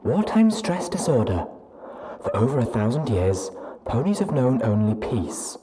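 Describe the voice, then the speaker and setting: Formal male announcer, put on by a story reader, clinical, earnest, deliberate, instructive. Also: medium pitch, big pitch swings, over a noisy crowd, pitched above the performer's own voice. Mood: solemn, instructive. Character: clinical wartime public health notice voice.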